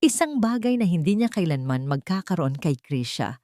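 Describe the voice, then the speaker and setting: A Filipino woman narrates a scripted love story in a lowish voice. Her delivery is measured and dramatic, set in short phrases with pauses. The sound is close and dry. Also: very animated, warm tone, no room sound.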